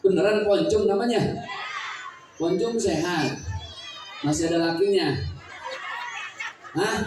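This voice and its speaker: A man's voice: sits high, slow pace, crowd noise, noisy background. A man preaching with a Betawi accent at a community gathering, joking and teasing the audience with questions. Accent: Betawi Malay. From Jakarta, Indonesia. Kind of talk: humorous religious talk at a community gathering. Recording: echoey, distant hall sound.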